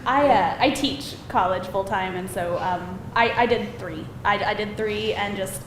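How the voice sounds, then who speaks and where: A woman writer giving casual, modest and somewhat hesitant answers on a panel. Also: unhurried. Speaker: screenwriter and novelist on a Q&A panel. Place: live film festival event room. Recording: echoey room with clear noise, rumble.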